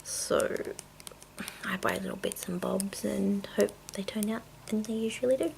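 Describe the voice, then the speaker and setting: A woman chatting casually in a soft, mostly whispered ASMR style, rambling along, with tapping and clicking from small containers. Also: mid-range voice, fairly even intonation, a little slow, vocal fry, rough recording. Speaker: video creator and host. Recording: noticeable noise, clicking sounds. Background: tapping and clicking of makeup containers.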